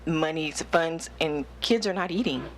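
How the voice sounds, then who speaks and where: A woman's voice: low-pitched, unhurried, slight background noise. A woman who works for the courts, asking the panel an earnest question from the audience. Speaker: audience member, court employee. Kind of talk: question from the floor.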